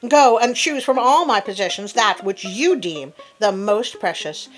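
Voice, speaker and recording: A woman voicing a proud, quick-tempered nobleman in a storybook tale, commanding in manner. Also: medium pitch, lively intonation, soft background music, close to an everyday voice. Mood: accusing, angry.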